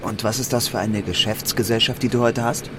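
An actor playing an earnest, easily flustered young clerk in a radio drama. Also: male voice, fairly low pitch, steady tone, fairly quick, noisy background, close to an everyday voice. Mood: uneasy. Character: earnest, anxious young male clerk, devoted husband. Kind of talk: German radio drama acting.

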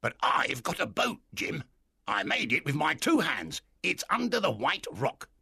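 A man voicing a wild, excitable old castaway who pleads eagerly in rising exclamations, with a raspy, gravelly voice. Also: fairly high pitch, measured pace, no room sound, clean studio sound, voice pushed up, full character voice.

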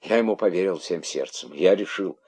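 A man's voice: low-pitched, brisk, darkish tone, husky. A man voicing a frightened, guilty rabbit who pleads and wails for mercy in an animal fable. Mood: pleading, desperate. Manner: pleading, wailing.

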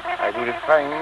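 An actor playing a cheeky, cocky detective in an old Dutch radio serial, bantering in a brash, folksy way. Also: male voice, on the high side, highs cut off, noisy background. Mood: jovial, bustling. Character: cheeky, cocky male detective on the run at sea. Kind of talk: 1950s-60s Dutch radio serial acting.

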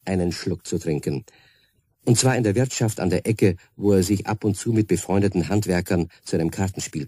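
A man's voice: on the low side, mellow, husky, dry recording. A man narrating a scripted story as the narrator of a radio play. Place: dry studio.

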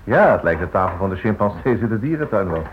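A man's voice: lowish voice, animated, quick pace, a little hiss or hum, lightly acted. An actor playing an easygoing, teasing husband and father in domestic radio drama dialogue. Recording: dull, echoey radio sound.

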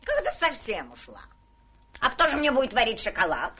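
Imperious, shrill old lady. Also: wildly swooping pitch, a little fast, pushed and bright, breathy edge, exaggerated voice. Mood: outraged, frantic. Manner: theatrical, domineering, exclamatory. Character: imperious, capricious rich old lady, prone to hysterics. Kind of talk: stage-trained radio drama acting.

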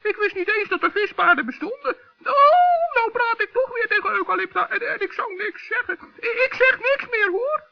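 A man's voice: very high-pitched, animated, normal speed, gravelly, few pauses, pitched above the performer's own voice, heavily acted. A man voicing a small, naive forest gnome in a children's radio play, hesitant and plaintive. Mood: flustered, curious. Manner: hesitant, plaintive, easily tempted. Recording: dull old radio recording.

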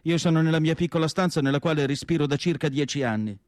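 An actor playing a reflective, melancholic asylum doctor writing his diary, in a solemn, measured radio drama monologue with deliberate pauses. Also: on the high side, a little mellow, no room sound, studio quality, natural voice. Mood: reflective, recollecting.

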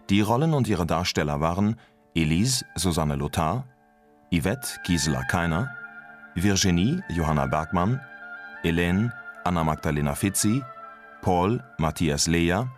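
Low-voiced male radio announcer over music. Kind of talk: formal scripted credits reading.

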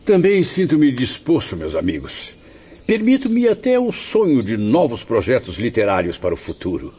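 A man's voice: medium pitch, huge pitch swings, moderate pace, dark tone, breathy edge. An actor with a Brazilian accent playing a genteel, eloquent spirit of a literary man in a radio drama. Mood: courteous, hopeful. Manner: courtly, eloquent. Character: genteel, wry literary man's spirit, a consoling friend. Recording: dull audio, faint noise.

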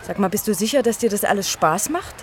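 A woman's voice: medium pitch, expressive, fast talker, slight background noise, lightly acted. An actress playing a teasing, challenging student in her twenties in radio drama dialogue, with a pointed, ironic delivery. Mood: teasing, provocative. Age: young adult.

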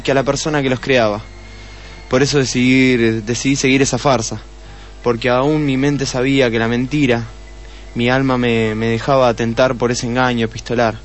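An actor playing a desperate, lovelorn swindler in a radio melodrama, speaking haltingly. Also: male voice, mid-range voice, natural voice. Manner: halting, stammering, repeating words. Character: desperate, lovelorn male swindler, cornered and sorrowful. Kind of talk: melodramatic radio drama acting.

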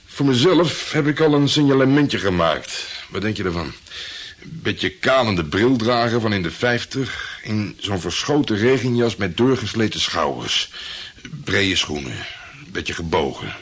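An actor playing a cocky, flirtatious private detective in a radio drama, boastful and jokey in colloquial from the Netherlands. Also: male voice, lowish voice, expressive, unhurried. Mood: smug, speculating. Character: cocky flirtatious male private detective, self-assured and slangy.

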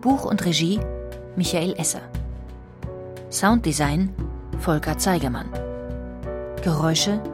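A woman's voice: lowish voice, takes it slowly, pauses often. A woman reading scripted production credits as an announcer, with music behind her. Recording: clear music under the voice.

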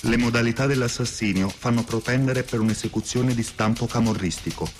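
An actor playing a radio newsreader, delivering a formal, impersonal, clipped crime bulletin. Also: male voice, medium pitch, a little fast, noisy background, lightly acted. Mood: impersonal, serious. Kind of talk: formal scripted news bulletin.

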